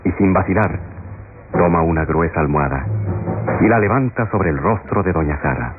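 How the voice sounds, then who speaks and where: Deep, solemn radionovela narrator, tinny sound. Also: male voice, animated, moderate pace. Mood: grave, suspenseful. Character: solemn male narrator of a suspense melodrama. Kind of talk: grave, melodramatic radionovela narration. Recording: tinny radio sound under loud music.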